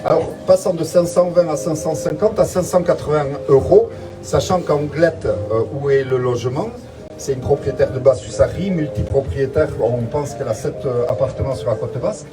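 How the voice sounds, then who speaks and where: A man's voice: fairly high pitch, moderate pace, over music. A man leading a tongue-in-cheek guided tour outdoors, talking in a mock-solemn way and hesitating often. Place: outdoors in front of a museum.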